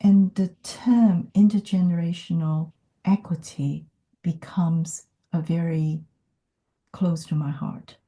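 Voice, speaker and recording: A woman from Hong Kong, whose first language is not English, speaking in a thoughtful podcast conversation with many pauses and fillers. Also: fairly low pitch, fairly even intonation, mellow. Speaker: podcast guest. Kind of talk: reflective conversation.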